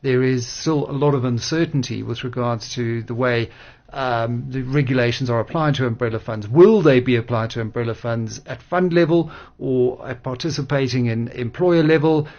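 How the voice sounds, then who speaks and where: A man interviewing on radio in a measured, articulate, formal way about finance. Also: mid-range voice, a little mellow, muffled recording.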